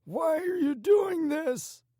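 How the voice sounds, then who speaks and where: A man's voice: very high-pitched, normal speed, no echo, clean studio sound, pitched above the performer's own voice. A man voicing a terrified old man in an audiobook, stammering and pleading in agony. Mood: agonized. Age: older. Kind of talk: audiobook character dialogue.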